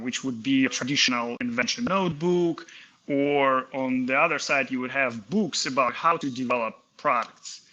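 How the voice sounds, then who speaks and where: Inventor guest, a man from Kazakhstan in his 30s; very bright voice, stop-start and hesitant. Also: medium pitch, low-quality recording. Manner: hesitant, many fillers and restarts. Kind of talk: casual interview talk.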